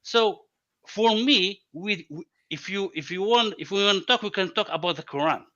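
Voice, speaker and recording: A man whose first language is Arabic, debating on a video call, halting and repeating words before each point. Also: high-pitched, lively intonation, crowd noise. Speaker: debate guest. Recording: dull lo-fi call audio.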